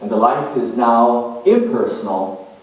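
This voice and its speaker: A man giving an explanatory astrology lecture in a measured, deliberate way. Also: medium pitch, lively intonation, darkish tone. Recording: very echoey room, distant microphone.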